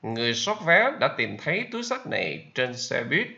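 A man with a Vietnamese accent, a native Vietnamese speaker, teaching English vocabulary and repeating each example sentence slowly. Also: medium pitch, huge pitch swings, creaky, pushed and bright, dull audio. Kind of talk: slow vocabulary lesson with repetition.

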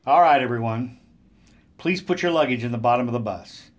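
A man's voice: mid-range voice, a little fast, lightly acted. A man as a commanding trip supervisor. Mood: brisk. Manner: loud, commanding. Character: brisk, bossy adult trip supervisor. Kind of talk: audio drama acting.

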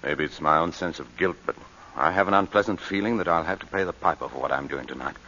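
A grave, measured lawyer. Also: male voice, sits low, bright, forceful tone. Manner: measured, earnest, reproving. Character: grave male lawyer, serious and guarded. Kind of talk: 1940s radio acting. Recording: dull old radio broadcast, faint hiss.

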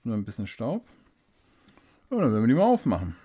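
A man giving a casual unboxing review at a desk, unhurried and thinking aloud with small fillers. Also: medium pitch, wildly swooping pitch, warm tone, husky. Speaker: fountain pen reviewer. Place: indoors at a desk, hands-only camera. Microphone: studio desk microphone.

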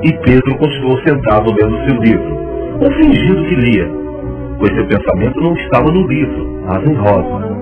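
A man with a Brazilian accent acting as the measured narrator of an old radio soap. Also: medium pitch, very animated, natural voice. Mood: storytelling. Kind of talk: scripted narration. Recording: narrow, tinny old radio recording. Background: music.

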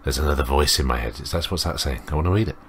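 An extremely deep male voice narrates a visual novel for a let's play. He rambles and breaks off to comment on what he reads, over faint noise of clicks and typing. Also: racing, a bit bright. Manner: rambling, self-interrupting. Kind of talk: reading a visual novel aloud with off-the-cuff commentary.

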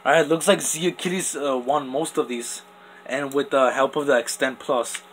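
A man's voice: medium pitch, fairly even intonation, normal speed, fairly bright tone, slight background noise. A young man, possibly a teen, chatting off camera as he runs toy top battles, rambling with lots of "like" and restarts. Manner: rambling, full of "like" and "literally", many restarts. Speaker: toy battle channel host. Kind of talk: casual off-camera commentary over toy battles.

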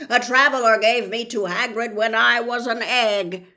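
A woman voicing an aged, blind male giant spider from a storybook, fretful and imperious, declaiming slowly with theatrical emphasis. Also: fairly high pitch, fairly even intonation, sharp tone. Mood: indignant, proud. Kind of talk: dramatized storybook reading.

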